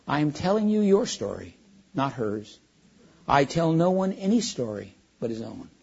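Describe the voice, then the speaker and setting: A man voicing a vast, calm male lion who speaks slowly and solemnly in a storybook reading. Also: fairly high pitch, gravelly, breathy edge, exaggerated voice. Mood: gentle. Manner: slow, solemn and gentle. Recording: dull lo-fi recording.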